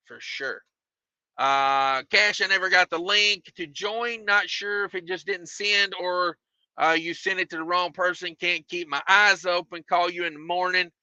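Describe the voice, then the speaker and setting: A man chatting casually on a trucking livestream, a bit high-voiced and very bright in tone, though his voice is wearing thin and hoarse. He rambles, repeats himself and fills pauses with ums. The sound is dry and dull, like a lo-fi setup. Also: no echo. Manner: laid-back, repeats phrases, many fillers. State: losing his voice. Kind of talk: casual livestream chat reading viewer comments.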